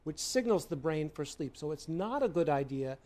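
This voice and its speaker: A man's voice: on the high side, expressive, moderate pace, dark tone. A man with an American accent explaining things as a medical expert in an interview.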